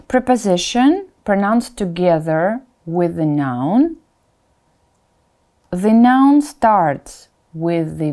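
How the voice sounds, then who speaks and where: Female language tutor, soft dark timbre, unhurried delivery, clear modelling of words with informal asides to learners. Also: medium pitch. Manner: instructional, repeats example words slowly and distinctly; informal asides, checks in with "right?". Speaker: Russian language teacher. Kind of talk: pronunciation lesson addressed to learners.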